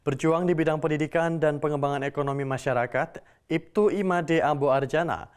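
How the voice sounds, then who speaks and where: A young man presenting a television program in a formal, steady announcer style. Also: a little high, fairly quick, clean tone. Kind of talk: scripted broadcast presenting.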